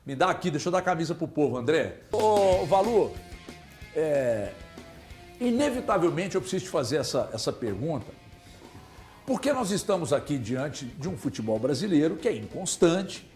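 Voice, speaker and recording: Brief: male football-show host, lively swooping delivery, emphatic and quick to argue, somewhat high voice. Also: reverberant, soft background music. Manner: animated, emphatic, talks over others. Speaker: sports talk show host. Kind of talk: casual sports debate.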